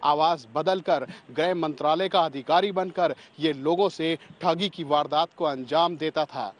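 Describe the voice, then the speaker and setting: A man's voice: fairly high pitch, fairly even intonation, unhurried. A man working as a news reporter, explaining a story in report style.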